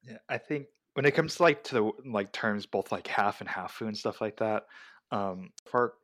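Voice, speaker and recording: A man from the United States talking casually as a podcast host, explaining things in a halting, filler-heavy way. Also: fairly low pitch, fairly bright tone, no room sound, studio quality. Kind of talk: casual discussion.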